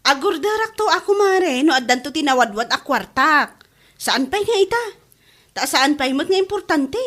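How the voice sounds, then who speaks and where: A woman with an Ilocano Filipino accent playing a chatty, excitable friend in a radio comedy drama. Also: high-pitched, moderate pace. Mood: excited.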